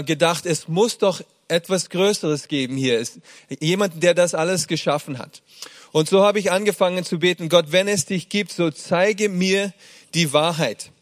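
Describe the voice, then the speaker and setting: A middle-aged pastor from Germany giving a sermon, speaking warmly with many hesitations and fillers. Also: male voice, high voice. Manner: warm, hesitant delivery with frequent fillers.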